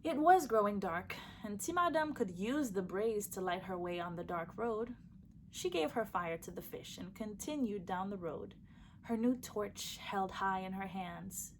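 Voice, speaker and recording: A woman reading a folktale aloud for children, lively and shifting her voice for each character. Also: mid-range voice, a little hiss or hum, poor audio. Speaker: storyteller. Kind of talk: storybook read-aloud for children.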